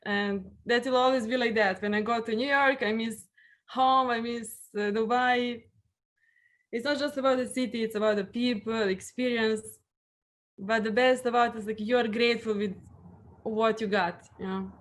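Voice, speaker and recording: Young Serbian-accented woman, fairly high voice, halting and repetitive, interview guest. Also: fairly even intonation. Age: young adult. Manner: halting, repeats words, many fillers. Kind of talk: interview answers.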